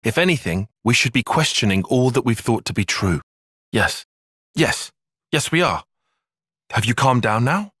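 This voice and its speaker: A man's voice: mid-range voice, sing-song, normal speed, long pauses, a bit bright, slightly rough, no room sound, clean studio sound. A man with a British accent playing an earnest, analytical young soldier in a video game, speaking in formal, precise phrases. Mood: measured, serious. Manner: formal, precise, bookish wording. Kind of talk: video game cutscene acting.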